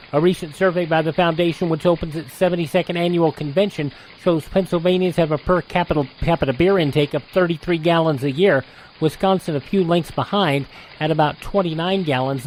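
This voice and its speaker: Higher-voiced American man reading news. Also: a little mellow, a little hiss or hum, rough recording. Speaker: radio host reading historical news. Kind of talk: scripted newscast reading.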